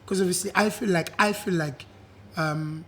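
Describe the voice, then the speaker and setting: A young South African man with a husky voice, chatting easily as an interview guest and mixing in isiZulu. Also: high voice, moderate pace. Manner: relaxed, switches between English and isiZulu, repeats "yeah". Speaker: guest, singer. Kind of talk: casual interview chat.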